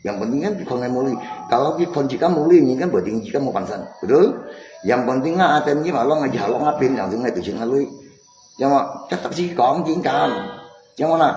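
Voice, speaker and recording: A man's voice: mid-range voice, a little mellow, a little hiss or hum. A man chatting casually and insistently on a live stream, often repeating himself. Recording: echoey room, music mixed in. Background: music.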